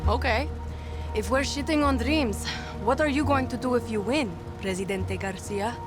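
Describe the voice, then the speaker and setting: An actress playing a tough, sardonic young guerrilla fighter, speaking bluntly and casually in a video game. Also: female voice, fairly high pitch, normal speed, music in the background, close to an everyday voice. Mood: sardonic, probing. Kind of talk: cinematic video game acting.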